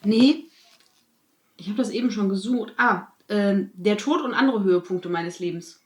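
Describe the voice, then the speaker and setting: A woman's voice: medium pitch, moderate pace, a little mellow. A woman chatting casually as a podcast co-host. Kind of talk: casual chat. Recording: roomy echo.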